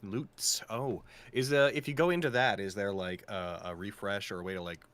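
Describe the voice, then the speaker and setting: Guy w a lowish bright sharp voice, joking around on a cast livestream, silly swears. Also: moderate pace, dry recording. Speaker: podcast voice actor and creator. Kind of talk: casual livestream chat among castmates.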